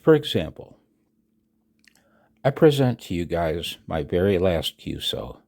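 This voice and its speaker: Older American male, 60s, deep and unhurried, reading a personal statement for a hobby vlog with emphatic, dramatic delivery. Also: big pitch swings, vocal fry, a little mellow. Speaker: amateur radio vlogger. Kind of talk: reading own written announcement aloud with asides.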